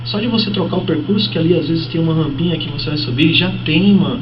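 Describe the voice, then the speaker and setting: A man's voice: on the high side, quick pace. A man with a Brazilian accent, speaking as a guest fitness trainer and explaining conversationally with examples. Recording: muffled, noisy, distant-sounding broadcast audio.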